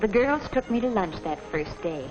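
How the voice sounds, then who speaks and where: An actress playing a polite, earnest young American office worker, narrating a 1950s training film in a measured, proper way. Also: female voice, medium pitch, very animated, close to an everyday voice. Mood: reflective, earnest. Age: young adult. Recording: dull 1950s film soundtrack, music behind.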